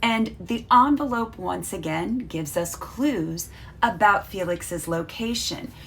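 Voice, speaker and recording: A woman's voice: mid-range voice, slow pace, gravelly, fairly bright tone, slight background noise. A woman reading a children's storybook aloud as a teacher, carefully explaining as she goes.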